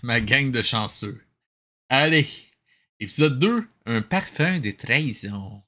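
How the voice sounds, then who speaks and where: A man's voice: mid-range voice, lively intonation, slow pace, pushed and bright. A man with a Québécois French accent giving sarcastic, mocking running commentary as a host reacting to a show. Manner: sardonic, mocking. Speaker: host reacting to an old cartoon.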